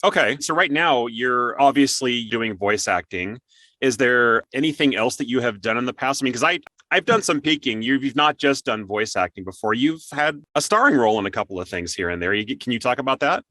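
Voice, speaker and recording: Animated, bright-voiced male interview host. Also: medium pitch, fairly quick, dry recording. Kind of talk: upbeat scripted intro and interview chat.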